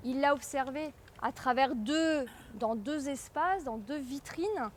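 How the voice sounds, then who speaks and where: A woman giving an informal public lecture, explaining things with hesitant pauses and repeated words. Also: sits high, background noise. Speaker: guest lecturer, medieval historian.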